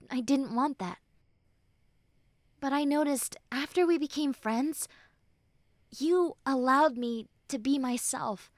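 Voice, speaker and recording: A woman playing a gruff tsundere friend who has turned tender and vulnerable, speaking softly and earnestly in an intimate roleplay audio. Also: high voice, no echo, clean studio sound. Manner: earnest, hesitant, soft, often dropping to breathy near-whispers.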